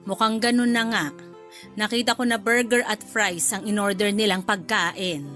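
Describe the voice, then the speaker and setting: A woman's voice: medium pitch, fairly even intonation, normal speed, a bit bright, soft background music, lightly acted. A woman with a Filipino accent playing a chatty, blunt middle-aged mother-in-law in a radio drama.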